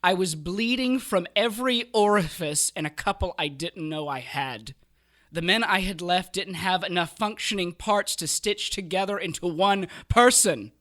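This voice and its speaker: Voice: medium pitch, normal speed, a bit bright, lightly acted. An actor playing a sadistic sorcerer and former soldier in an audio drama, taunting and theatrical, with gleeful menace. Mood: grim storytelling. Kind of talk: audio drama acting, storytelling monologue.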